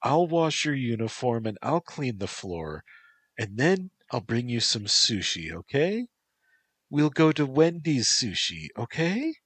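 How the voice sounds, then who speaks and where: A man's voice: medium pitch, sing-song, measured pace, fairly bright tone, slightly rough, no echo, voice pushed up, full character voice. A man voicing a sweet, doting young woman who talks in a soothing, sing-song way.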